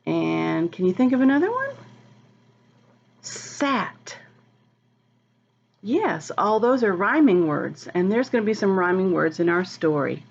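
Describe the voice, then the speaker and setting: A woman reading a picture book aloud to children in a teacherly, expressive, sing-song way, explaining and asking questions as she goes. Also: medium pitch, measured pace, gravelly, pauses often, dull audio. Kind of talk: read-aloud storytime with asides to children.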